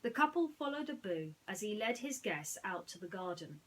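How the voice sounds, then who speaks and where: A woman speaking in an earnest, slightly halting way, as a storyteller chatting and reading to children. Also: medium pitch, sing-song, husky, low-quality recording. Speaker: storyteller reading bedtime stories.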